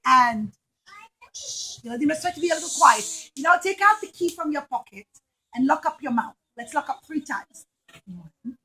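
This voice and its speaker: A woman's voice: high-pitched, very animated, normal speed, sharp tone, low-quality recording. A woman telling a story to young children as a puppet show, with simple phrasing and dramatic emphasis, addressing them directly.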